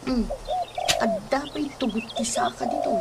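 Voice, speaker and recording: A woman's voice: a little high, normal speed, lightly acted. A woman playing a grumbling village housewife in an Ilocano comedy radio drama. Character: village housewife grumbling about pilfered moringa. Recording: noisy track with birds and animals.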